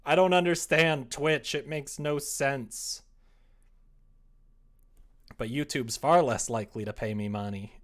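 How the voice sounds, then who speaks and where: A young man chatting casually and playfully as a livestreamer, reading and answering his viewers at his computer. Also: medium pitch. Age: young adult. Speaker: streamer. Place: small room at home, indoors.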